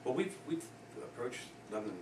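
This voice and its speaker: A man's voice: mid-range voice, very animated, a little fast. An American man from Pennsylvania, a builder explaining a proposal informally at a public meeting. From Pennsylvania, United States. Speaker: construction company owner presenting a proposal. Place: indoor public meeting room. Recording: distant, echoey, noisy meeting room recording.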